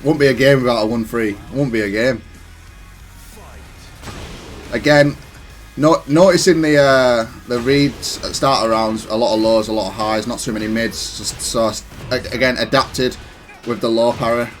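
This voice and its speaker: A man giving casual, analytical commentary on his own gameplay, self-critical but matter-of-fact and even-tempered. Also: medium pitch, normal speed, faint music behind. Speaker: gaming content creator commentating on own matches.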